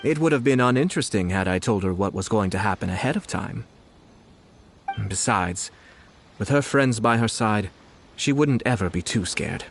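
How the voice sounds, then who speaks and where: Smooth composed nobleman, lowish voice, a bit teasing. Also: expressive, moderate pace, slight background noise. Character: calm elegant young nobleman, mischievous older brother. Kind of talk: game cutscene voice acting.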